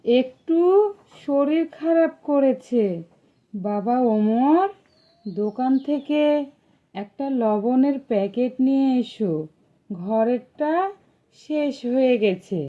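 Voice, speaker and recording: Female actor as unwell gentle mother, patient, slow speech, higher pitch, cartoon drama, lo-fi track. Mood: weary. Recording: dull lo-fi voice track.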